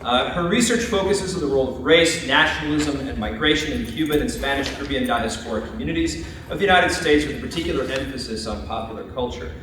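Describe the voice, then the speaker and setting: A man's voice: medium pitch, fast, hardly any pauses, fairly bright tone. A man hosting an event and reading a formal introduction of the guest speaker, with many "uh"s. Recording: echoey room, distant mic, faint noise.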